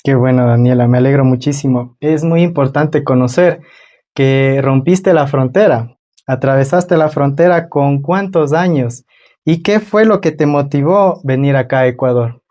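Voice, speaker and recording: A man's voice: medium pitch, moderate pace, warm tone. A man hosting a conversational interview on his own channel, welcoming a guest and asking questions.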